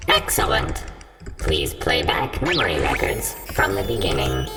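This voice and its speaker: A woman's voice: a bass voice, sing-song, unhurried, full character voice. An actress playing a composed, inquisitive woman in an audio drama. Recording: distant voice, loud music, typing.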